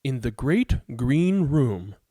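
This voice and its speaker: A man with a gravelly voice, hosting and reading bedtime picture books aloud for young children. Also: mid-range voice, sing-song, slow, warm tone, no room sound, studio quality. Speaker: host of a children's read-aloud show. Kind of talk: casual chat and bedtime picture book read-aloud.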